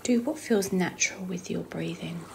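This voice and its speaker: A woman leading a guided visualisation meditation in a soft, breathy, half-whispered voice with slow, unhurried phrasing. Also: fairly low pitch. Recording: clearly noisy, trickling water ambience.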